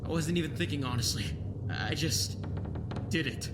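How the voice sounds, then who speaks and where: An actor playing an earnest, daring young man in an audio drama, with loud sound behind him. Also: fairly high pitch, natural voice. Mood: subdued. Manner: earnest, halting. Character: earnest, daring young man who sees through monsters. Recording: loud music and engine behind.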